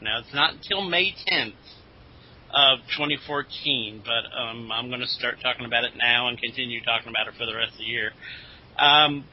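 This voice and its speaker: A man from the United States co-hosting a podcast in casual group chat, with a dry, joking manner. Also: mid-range voice. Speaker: podcast co-host. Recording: dull, slightly muffled lo-fi audio.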